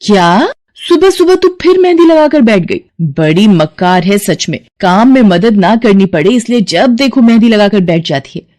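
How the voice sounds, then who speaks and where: A woman's voice: medium pitch, lively intonation, darkish tone, lightly acted. A woman voicing a scolding poor mother in a hurry. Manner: scolding, hurried. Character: poor hardworking widowed mother, scolding then tender.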